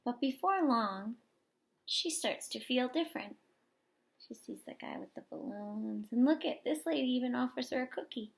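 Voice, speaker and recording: A woman teacher reading a picture book aloud expressively to young children, pausing for explanations and questions. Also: a little high, animated, creaky. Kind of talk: expressive children's story read-aloud with asides to listeners.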